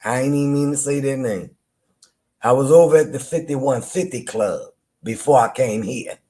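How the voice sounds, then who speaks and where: A man with a deep voice hosts a live chat. He talks casually and teases people in loose, playful banter. He laughs often as he goes, and his pitch sits in an ordinary middle range. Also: normal speed, mellow. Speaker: live audio-room host. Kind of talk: casual trash-talking chat to listeners.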